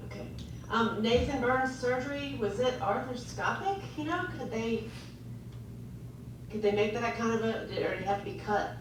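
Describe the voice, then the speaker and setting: A woman's voice: fairly high pitch, animated, normal speed, far from the mic. American woman reporter in an echoey room. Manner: direct and friendly, laughs easily. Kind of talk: press conference questions. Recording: echoey room with background noise.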